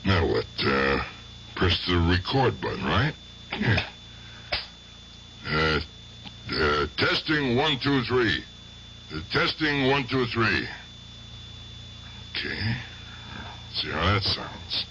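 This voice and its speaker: An actor playing an aging, guilt-ridden gangster in a radio drama, with rough, halting American speech. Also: male voice, low-pitched, big pitch swings, bright, forceful tone, full character voice. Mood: halting, puzzled. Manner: rough colloquial speech, halting, muttering to himself. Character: aging guilt-tormented gangster, gruff but fond uncle. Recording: dull, noisy old radio drama recording.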